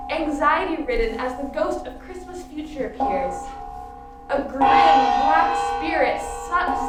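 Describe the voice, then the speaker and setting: A woman's voice: sits high, recorded from a distance. A woman narrating a radio play with a theatrical storytelling delivery. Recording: echoey room from afar, faint noise.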